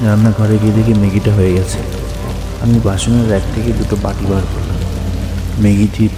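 A man's voice: fairly low pitch, little pitch movement, brisk. A man narrating a horror story in the first person, in a colloquial, dramatic storytelling style. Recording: echoey long reverb, music and rain behind. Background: music and rain.

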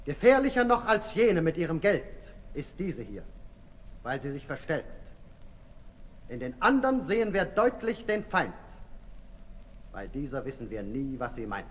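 An actor in a 1960s radio play voicing an embittered, accusing revolutionary orator with declamatory delivery. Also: male voice, on the high side, big pitch swings, normal speed, pauses often. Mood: bitter warning. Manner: rhetorical, declamatory. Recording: reverberant hall, 1960s radio recording.

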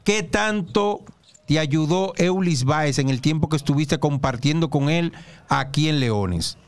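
Male host, playful and joking, slightly high voice. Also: unhurried. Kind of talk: casual sports interview. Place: small studio with desk.

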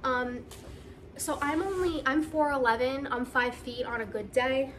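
A woman vlogger chatting casually to camera in an excited, gushing, conversational way, as in an unboxing video. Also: high voice, a little slow, a little hiss or hum.

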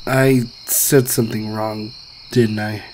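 A man voicing a sardonic, self-deprecating pony stallion on the run in an animated comic dub, with wry, theatrical asides. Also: fairly low pitch, takes it slowly, a little hiss or hum, poor audio. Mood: wry, sheepish.

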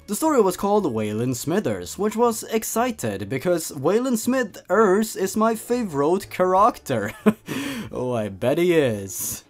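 A man hosting a comedic story reading, theatrical and amused in his reactions. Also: high voice. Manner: theatrical, amused, chuckles between lines. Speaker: host of a creepypasta reading channel.

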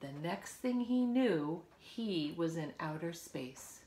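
A woman's voice: lowish voice, lively intonation, takes it slowly, mellow, a little hiss or hum. A woman in her fifties reads a picture book aloud to young children, expressively, with chatty asides, recorded at home. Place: living room at home, by a window.

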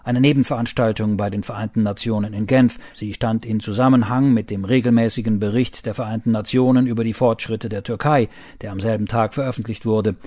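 Casting: male voice-over for documentary reportage, soft dark timbre, measured read, dry studio-style recording. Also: fairly low pitch, fairly even intonation, dry recording. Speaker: voice-over narrator. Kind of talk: scripted reportage narration and voice-over.

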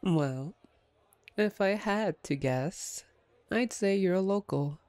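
A woman playing a playful, smug, flirtatious female vampire captor in an ASMR roleplay, with a teasing, wry, unhurried manner. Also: mid-range voice, expressive, mellow, dry recording. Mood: wry, chatty.

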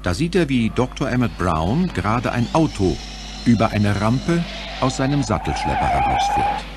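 A man's voice: mid-range voice, huge pitch swings, moderate pace, over loud music. A man narrating a German audio drama from a script, telling the story to listeners.

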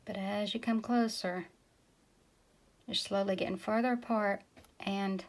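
A woman from Tennessee giving a relaxed step-by-step painting lesson, talking as she paints and sometimes dropping to a whisper. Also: mid-range voice, measured pace. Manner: relaxed, talks while painting, drops to a whisper at times. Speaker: painting instructor. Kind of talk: casual step-by-step tutorial. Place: indoors at a work table.

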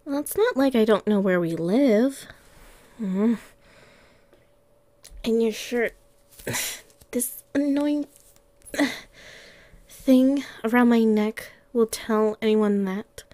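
A woman's voice: on the high side, no echo. A woman voicing a playful, clingy young catgirl girlfriend in an ASMR roleplay, affectionate and cooing, close to the mic. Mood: puzzled, reluctant. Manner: soft, affectionate, slow with long pauses, cooing.

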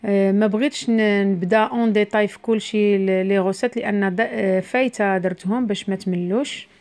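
Middle-aged woman, Moroccan accent, quick casual cooking narration, explains every step, mid-pitched voice. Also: fairly even intonation, darkish tone. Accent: Moroccan Arabic. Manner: chatty, explains each step as she cooks. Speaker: cooking vlogger.

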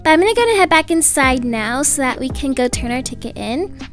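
A young woman tells a roleplay story as a brisk, slightly exasperated mom. Her voice is very high and moves up and down in a lively way, with music underneath. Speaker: storyteller voicing a roleplay video. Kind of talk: animated scripted roleplay narration in everyday voice.

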